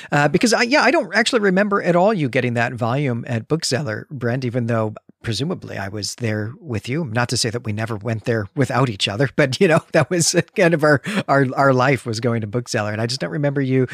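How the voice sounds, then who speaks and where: A middle-aged man with a medium-pitched voice, recorded close and dry. He hosts a casual literary discussion with enthusiasm, often digressing and rephrasing as he goes. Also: no room sound. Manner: digressive, self-correcting, enthusiastic. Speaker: podcast host.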